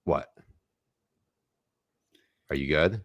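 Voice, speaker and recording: Man, podcast co-host, very low voice, crude teasing banter, dry close mic. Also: extremely slow, dry recording, highs cut off.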